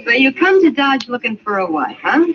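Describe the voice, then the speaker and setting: An actress playing a worldly, sharp frontier woman in an old western drama, wry and incredulous. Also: fairly high pitch, big pitch swings, normal speed, a crowd in the background. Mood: wry, amused. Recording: dull lo-fi old broadcast.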